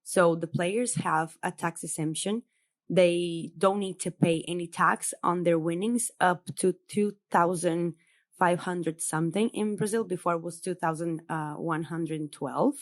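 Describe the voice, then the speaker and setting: A woman's voice: lowish voice, fairly even intonation, unhurried. A woman who is a native Portuguese speaker, a journalist guest, explaining in a chatty way with many fillers on a remote podcast call. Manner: chatty, filler-heavy, laughs between points. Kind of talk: casual podcast interview. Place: home in Brazil, remote call.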